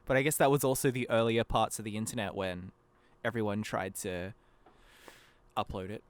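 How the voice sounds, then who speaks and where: A man's voice: on the low side, fairly even intonation, moderate pace, dry recording. A man speaking as a guest in a casual interview, rambling in a self-deprecating way and breaking off mid-thought. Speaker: interview guest, fan abridged-series creator. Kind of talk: casual podcast interview chat.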